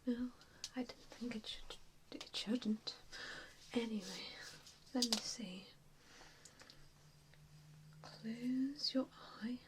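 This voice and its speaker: Slow whispering woman, ASMR roleplay. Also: a little high, noisy background. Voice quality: breathy, mostly whispered. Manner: gentle, hesitant, reassuring. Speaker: ASMR roleplayer playing an assessor. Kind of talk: ASMR roleplay, softly whispered personal attention.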